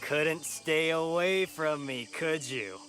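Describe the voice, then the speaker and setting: An actor playing a cocky, flirtatious rogue thief in a video game, with a teasing, crude swagger and a bitter streak. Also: male voice, a little high, takes it slowly, a bit bright. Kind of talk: dramatic video game dialogue. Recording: music playing behind the voice.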